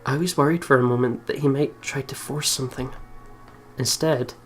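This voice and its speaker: A man reads a visual novel aloud as a let's play host, mixing narration with casual remarks. His voice is medium in pitch and he trips over words, with faint game music behind him. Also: steady tone, moderate pace. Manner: giggly, stumbles while reading.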